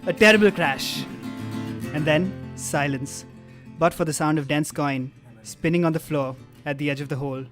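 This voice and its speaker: A man with a fairly high voice reads out stage directions as the narrator of a table read. He is dramatic but drops into casual asides. Guitar music plays clearly behind him. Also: moderate pace. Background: live guitar music.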